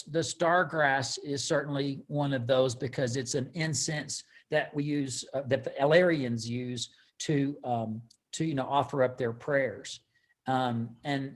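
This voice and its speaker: A man hosting a casual book read-along as co-author, explaining in a rambling, halting way with repeated words. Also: mid-range voice, fairly even intonation. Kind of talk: casual live book discussion.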